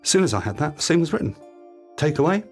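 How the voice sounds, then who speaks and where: A man's voice: a little high, big pitch swings, quick pace, a little mellow, faint music behind. A British man hosting a podcast, delivering a scripted monologue with practical tips. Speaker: podcast host.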